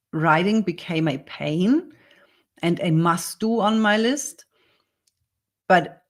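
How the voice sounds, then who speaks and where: Lower-voiced woman with a German accent. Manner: earnest, explains step by step in second-language English. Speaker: copywriter teaching a workshop. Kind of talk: dictated spoken answers in a workshop demo.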